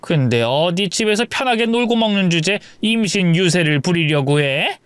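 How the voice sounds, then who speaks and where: A man's voice: high-pitched, lively intonation, moderate pace, clean tone, no room sound, pitched above the performer's own voice. A man voicing a harsh scolding mother-in-law. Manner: nagging, contemptuous.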